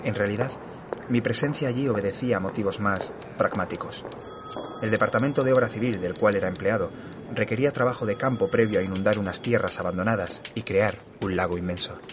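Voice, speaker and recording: A man playing a curious, literary-minded state employee, narrating a horror audio drama in a measured, formal way. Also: lowish voice, background noise, natural voice. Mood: measured, intrigued. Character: curious, literary-minded male civil engineering employee narrating his memoir. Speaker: narrator and lead actor.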